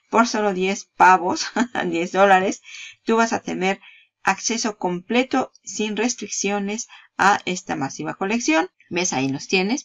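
A woman's voice: mid-range voice, creaky, dull audio. A woman giving a casual, chatty walkthrough of a product page, talking straight to the viewer and laughing at herself. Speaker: marketing YouTuber.

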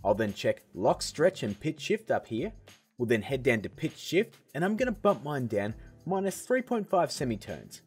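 Chill guy doing a screen tutorial voice-over, lively up and down delivery, slightly husky, faint music under. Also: mid-range voice, moderate pace. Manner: upbeat, step-by-step, casual. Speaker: video tutorial host.

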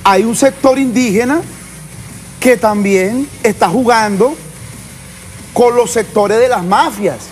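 Political panelist-reporter, Venezuelan accent, mid-pitched, insistent and repetitive for emphasis, slight noise behind. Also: slow, long pauses. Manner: insistent, repeats points for emphasis. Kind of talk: unscripted political discussion.

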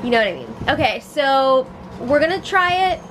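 Young woman, high voice, lively swooping intonation, unhurried, giggly casual vlog chat. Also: background noise. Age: 20s. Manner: giggly, animated. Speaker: vlogger. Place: small room at home, indoors. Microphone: camera at arm's length or nearby.

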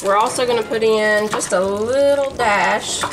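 Mom host, homey chatty cook-along, music and sizzling pan behind. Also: female voice, fairly high pitch, a little slow, low-quality recording. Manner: chatty, homey, talks to viewers as y'all. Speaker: home cook, channel host. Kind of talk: casual cook-along narration. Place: home kitchen. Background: background music and sizzling pan.

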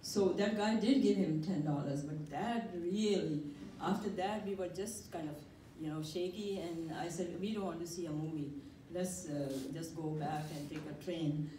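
A woman's voice: on the low side, moderate pace, dark tone. An older woman at a workshop telling a personal story in a conversational, hesitant way with fillers, speaking into a handheld mic in a community room. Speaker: workshop participant. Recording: echoey room, mic at a distance, noisy.

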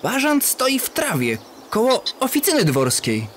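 A man's voice: very high voice, huge pitch swings, unhurried, slight background noise, full character voice. A man voicing a young boy, an eager little hunter in a comic-book radio play. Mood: eager, hushed. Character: young boy eagerly hunting a pheasant with his father.

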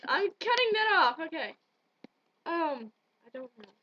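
A woman's voice: extremely high pitch, sing-song, a little slow, pushed and bright, a little hiss or hum. A young woman chatting casually and goofily with a friend, giggly and sweary, on a webcam mic in a bedroom. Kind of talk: casual goofy chat with a friend. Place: small bedroom, indoors. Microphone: webcam or laptop mic at arm's length. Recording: dull lo-fi webcam audio.

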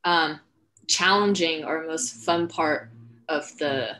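Female moderator, middle-aged, slightly low pitch, online Q&A host on a video-call headset. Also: slow, bright, forceful tone, slight background noise. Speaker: host, writer and director. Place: indoor room, video call. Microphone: video call, wearing headset.

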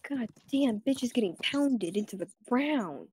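Woman acting anxious jester, cartoon fandub, slow delivery, dry close sound, muttering, foul-mouthed. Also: on the high side, expressive, a little mellow, slightly rough, no echo, exaggerated voice. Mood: bewildered. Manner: swearing, repetitive, muttering to herself. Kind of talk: improvised comic cartoon fandub.

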